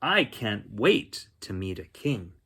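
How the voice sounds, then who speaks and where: Man voicing an eager, animated storybook dinosaur. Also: fairly low pitch, slow, slightly rough, full character voice. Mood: eager, curious. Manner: curious, wide-eyed, eager to please. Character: friendly, eager, naive male dinosaur.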